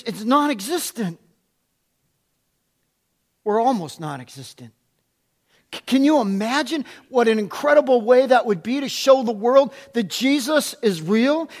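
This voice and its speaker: Middle-aged male pastor, very high voice, loud and prone to rising when excited, repeats his questions for emphasis. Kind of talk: sermon.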